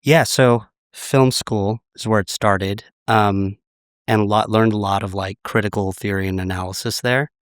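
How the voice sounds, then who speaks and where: A man in his late thirties, a podcast guest, slightly raspy from a recent illness, talking in a relaxed, reflective way. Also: on the low side, unhurried, dry recording, clean studio sound. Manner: relaxed, reflective, many "like" fillers. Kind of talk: casual interview chat.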